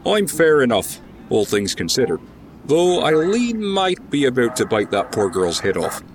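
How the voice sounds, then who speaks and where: A man's voice: medium pitch, sing-song, normal speed, a little hiss or hum, lightly acted. An actor playing a weary, glum male bar owner in a naturalistic audio drama.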